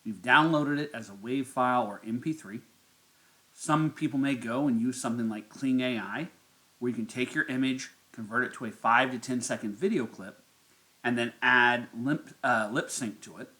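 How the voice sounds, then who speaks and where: A man narrating a step-by-step software tutorial as its host. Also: medium pitch, moderate pace.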